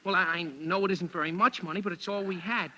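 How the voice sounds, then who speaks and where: An actor playing an earnest, excitable teenage boy who stammers, in a 1940s radio sitcom. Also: high-pitched, fast, a little hiss or hum. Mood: sheepish, earnest. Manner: stammering, indignant, pleading. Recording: vintage radio broadcast.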